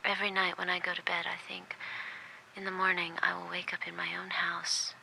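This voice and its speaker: A woman's voice: on the low side, completely flat delivery, a little fast, vocal fry, bright, forceful tone, slight background noise, heavily acted, rough recording. An actress playing a weary, guarded captive handmaid, whispering her inner thoughts in an intimate audio drama monologue. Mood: wistful, bleak.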